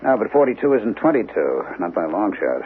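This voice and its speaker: A man's voice: on the low side, sing-song, fairly quick, breathy edge. An actor playing a brooding forty-two-year-old male writer who narrates his own story in a wry, musing way. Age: 40s. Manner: wry, musing, drifting into gloom. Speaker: narrator and lead. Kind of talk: 1970s radio drama acting. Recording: tinny lo-fi old radio broadcast with faint hiss.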